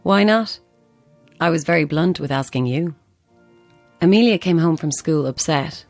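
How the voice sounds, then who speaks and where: Audio drama: blunt grieving mother and ghost believer, lowish female voice, faint music underneath. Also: a little slow, a little mellow, no echo. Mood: grave, haunted. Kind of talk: naturalistic audio drama acting.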